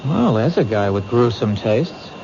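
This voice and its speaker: Earnest, protective policeman, mid-low voice, persistent, vintage radio drama with heavy hiss. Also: sing-song, measured pace, gravelly, darkish tone. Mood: friendly. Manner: plain-spoken, persistent. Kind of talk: 1970s radio drama acting. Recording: muffled, hissy old radio recording.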